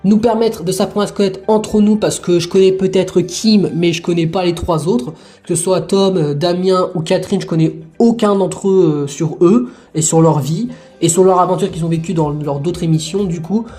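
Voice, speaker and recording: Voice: mid-range voice, moderate pace, dark tone, faint music behind, close to an everyday voice. A performer voicing a friendly young woman contestant who introduces herself in a hesitant way, full of fillers. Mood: chatty. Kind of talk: homemade toy-figure adventure show.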